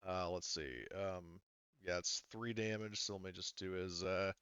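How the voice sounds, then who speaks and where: A man's voice: deep voice, steady tone, measured pace, fairly bright tone, clear, resonant tone, no room sound, muffled recording. A man speaking informally as a game master, narrating play and calling rules, with some swearing. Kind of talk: casual tabletop game narration and rules calls.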